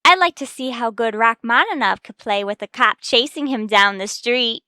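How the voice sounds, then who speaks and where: A woman playing a brash, sassy tavern owner's daughter in an old-time radio comedy, firing back quick comebacks. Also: fairly high pitch, bright, forceful tone, clear, resonant tone, no echo, studio quality.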